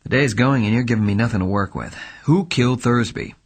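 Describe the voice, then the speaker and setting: Man playing a gruff angry detective, curt, loud, gravelly, husky. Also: medium pitch, brisk, close to an everyday voice. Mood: irritable. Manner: curt, irritable, loud with anger. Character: impatient hard-boiled male private detective.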